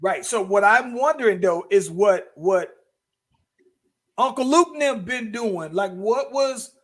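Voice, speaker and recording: A man with a high, light voice chats loosely as a podcast co-host. He circles back on himself, restarting and repeating words before he lands a point. His delivery stays relaxed and conversational. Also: unhurried. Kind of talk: casual chat.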